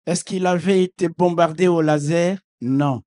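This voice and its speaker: A middle-aged bishop preaches in a sermon, speaking a language that is not his mother tongue. He delivers it slowly and deliberately, dropping into quiet asides before rising to loud, fervent proclamations punctuated by hallelujahs. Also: steady tone. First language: not French. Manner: swings from low asides to loud shouted proclamations.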